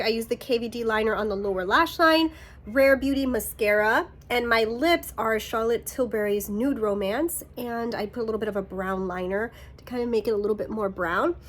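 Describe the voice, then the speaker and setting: Young woman beauty vlogger, a bit high and slightly nasal, upbeat, chatty. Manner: chatty, upbeat, drops to soft whispers while blending. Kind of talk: casual makeup tutorial chat.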